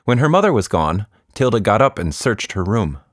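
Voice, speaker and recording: A man hosting and narrating a children's story podcast in a gently humorous way. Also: fairly low pitch, very animated, moderate pace, dry recording, clean studio sound.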